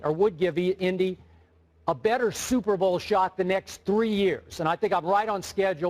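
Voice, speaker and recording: A man's voice: sits high, darkish tone. A man arguing his opinion on a studio sports debate show, emphatic and repeating words as he presses his point.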